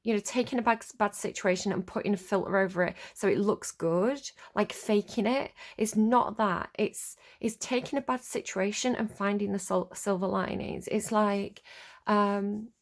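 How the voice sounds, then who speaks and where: Woman with a cold doing a chatty tarot reading, sniffly and kinda gravelly, lots of ums. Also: mid-range voice, steady tone, normal speed. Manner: rambling and searching, full of ums and likes. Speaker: tarot reader. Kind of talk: casual spoken tarot reading.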